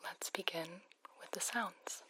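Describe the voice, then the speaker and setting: Slow whispering woman on a phone-filtered ASMR call. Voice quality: breathy whisper. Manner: slow, soothing, gently instructive. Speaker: ASMR host playing a sleep hotline specialist. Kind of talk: ASMR roleplay, guided relaxation.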